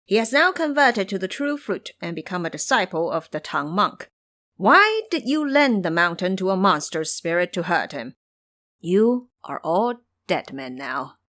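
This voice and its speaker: A woman's voice: mid-range voice, fairly bright tone, dry recording. A woman acts out an authoritative guardian god in a mythic audio drama. He is stern and knowing, cautioning emphatically with very varied intonation. The recording is dry. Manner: emphatic, explanatory.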